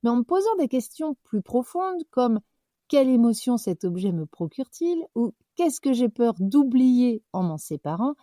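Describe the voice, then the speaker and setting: A young woman hosting a podcast, reflecting out loud in a loose, conversational way with fillers and self-corrections. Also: a little high, big pitch swings, moderate pace, dry recording, studio quality. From French-speaking, living abroad. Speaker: podcast host. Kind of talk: personal reflective monologue.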